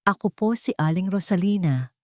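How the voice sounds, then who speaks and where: Audio story role: elderly grandmother voiced by a woman, gentle, resolute, grateful, dry sound. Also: fairly low pitch, expressive, moderate pace, dry recording, voice pushed up, close to an everyday voice. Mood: gentle, firm. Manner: gentle but firm.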